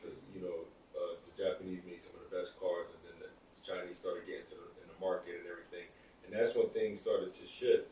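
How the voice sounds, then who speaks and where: A man's voice: sits low, flat delivery, a little fast, mellow, a little hiss or hum. A man in his thirties co-hosting a podcast, rambling through his opinions in casual chat. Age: 30s. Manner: rambling, lots of "like" and restarts. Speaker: podcast co-host. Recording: echoey room, far from the mic.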